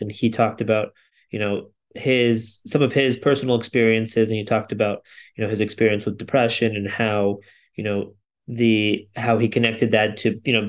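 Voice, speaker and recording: A man co-hosting a podcast, giving a thoughtful solo recap in loosely strung sentences. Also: lowish voice. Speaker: podcast co-host.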